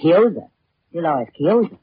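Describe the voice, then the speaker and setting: An actress playing a sardonic, flirtatious former band singer in a 1940s radio detective drama, with a cool, teasing, dry manner. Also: female voice, low voice, very animated, measured pace, slight background noise. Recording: thin, narrow vintage radio recording.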